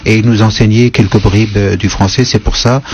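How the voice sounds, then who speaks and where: A man in his sixties, born in northeast Syria with Kurdish as his first language, speaks in a low voice and pauses often as he gathers his thoughts. His answers come through a dull radio broadcast with a faint hiss behind them. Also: fairly even intonation, a little mellow. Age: 60s. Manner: thoughtful, hesitant with many pauses. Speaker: guest writer. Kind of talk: radio interview.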